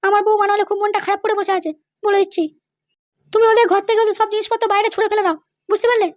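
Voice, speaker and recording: A vindictive cartoon wife, voiced by a woman, pitched extremely high. She issues pushy orders at a quick clip, insistent and commanding. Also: little pitch movement, no echo, studio quality. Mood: vindictive, demanding. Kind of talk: comedy cartoon dialogue.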